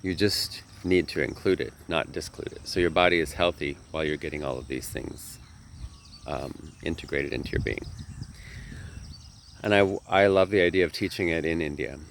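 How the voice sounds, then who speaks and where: A man's voice: a bass voice, normal speed, vocal fry, slight background noise. A man who teaches yoga, talking casually to camera, a little nasal from a sinus infection, earnest and rambling, often correcting himself.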